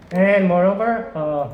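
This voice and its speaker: A man's voice: high voice, heavy background noise. A man in his twenties from South Korea, giving a chatty classroom presentation and running a quiz, with frequent fillers and restarts. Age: 20s. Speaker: exchange student presenting a quiz. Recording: roomy, slightly muffled classroom audio.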